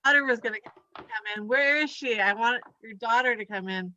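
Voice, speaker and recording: A woman performs loose, conversational stand-up in a bright, forward voice. She talks haltingly, drifting between thoughts and giggling at her own remarks. The sound is dry and slightly dull, like a lo-fi recording. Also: a little high, no echo. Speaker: stand-up comedian and chiropractor. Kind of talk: rambling conversational stand-up set.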